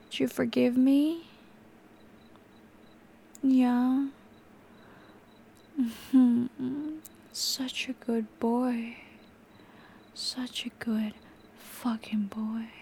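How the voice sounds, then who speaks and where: A woman's voice: high voice, vocal fry, heavily acted. A woman playing a volatile, possessive young captor. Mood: cooing. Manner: drifts between soft whispers and sudden snapping. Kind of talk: audio roleplay acting.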